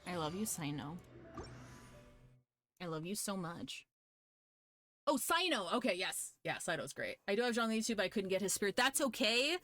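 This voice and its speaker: A woman's voice: medium pitch, very animated, normal speed, pauses often, bright, forceful tone. A woman streaming a video game live, commenting casually in an informal, sweary, self-mocking way. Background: game music.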